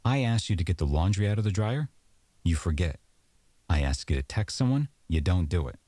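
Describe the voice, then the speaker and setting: A man's voice: low-pitched, animated, fairly quick, warm tone, no echo. A man playing an aggrieved, sarcastic boyfriend arguing at home in an audio roleplay, accusatory and exasperated. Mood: indignant. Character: aggrieved, sarcastic boyfriend in a heated domestic argument.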